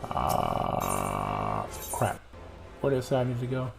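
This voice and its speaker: A man's voice: extremely low pitch, huge pitch swings, takes it slowly, vocal fry, over music. A man streaming a game at home, bantering and joking with his chat. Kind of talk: casual gaming commentary.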